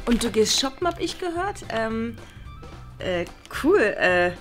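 A woman's voice: a little high, big pitch swings, slow, a bit bright, soft background music, full character voice. A woman playing an easygoing, slightly bratty schoolgirl granddaughter, casual and a bit hesitant, in a family sketch. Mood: pleased. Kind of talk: scripted family sketch for children. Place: indoor rooms, camera a short distance away.

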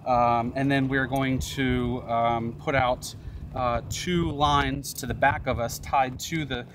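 A man in his thirties talks through his tasks at a middle pitch while working outdoors on a boat. Wind and water noise sit behind him on an action camera. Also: steady tone, slow. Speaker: sailing vlog host. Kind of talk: casual explaining on deck. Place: outdoors on a catamaran deck and dinghy.

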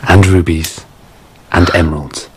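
Very deep, courteous emissary. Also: male voice, fairly even intonation, slight background noise. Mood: politely insistent. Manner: formal, measured, polite. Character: courteous, firm emissary of a count. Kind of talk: radio drama acting.

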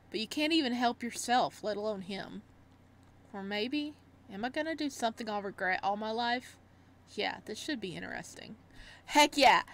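A woman streamer reading a visual novel aloud in an expressive way, breaking off for casual reactions. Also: on the high side. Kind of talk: let's-play read-aloud with casual reactions.

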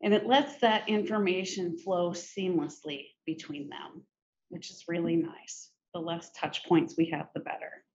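A middle-aged woman presenting a software demo in a conversational, informal step-by-step tutorial style. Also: lowish voice, fairly even intonation, measured pace. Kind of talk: conversational screen-share tutorial. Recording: muffled, noisy screen-recording audio.

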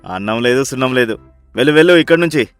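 A man's voice: mid-range voice, animated, fast talker, clean tone, no echo, faint music behind, lightly acted. A man playing a miserly, sly rich husband in a story, with a bossy, scolding, sarcastic manner. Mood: irritated.

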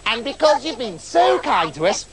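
A man voicing three tiny, excitable magical little men who squeal and coo in a storybook tale. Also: moderate pace, a little hiss or hum. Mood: eager. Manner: squeaky, ooh-ing, giddy.

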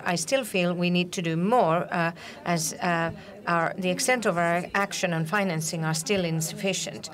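A woman interpreting a conference speech simultaneously, with frequent hesitations and filler sounds as she renders it. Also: on the low side.

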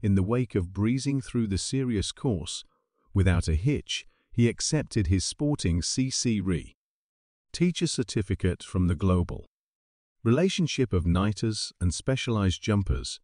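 A man reading a scripted documentary narration in a steady, even voice-over. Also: fairly low pitch, a little slow, dry recording, clean studio sound. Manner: steady, even reading of garbled paraphrased text.

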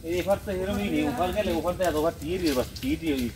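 A man guiding others through a mangrove forest, explaining things quickly in casual chat. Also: on the high side, crowd noise. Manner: rapid, explanatory. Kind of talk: casual chat while walking.

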